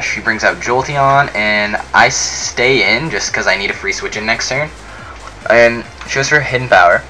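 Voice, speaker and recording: A young man narrating a video game battle in a chatty, excitable way, his voice a bit off from being sick. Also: fairly low pitch, low-quality recording. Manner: chatty, excitable, many fillers. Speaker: game commentator. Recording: faint music behind.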